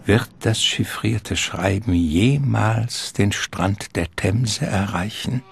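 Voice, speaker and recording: A man narrating a literary radio drama in a measured, ornate storytelling manner. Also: fairly low pitch, gravelly. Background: music.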